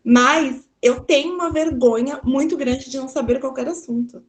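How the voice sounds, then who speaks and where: Woman on a video call, soft and reflective, sharing something personal. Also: sits high, moderate pace. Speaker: workshop participant.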